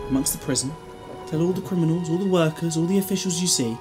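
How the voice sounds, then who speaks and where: A man's voice: on the high side, fast talker, music in the background, lightly acted. A British man playing a glib, protective time-travelling hero in an audio drama, wry and chatty with a scolding streak. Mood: stern. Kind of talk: fan audio drama acting.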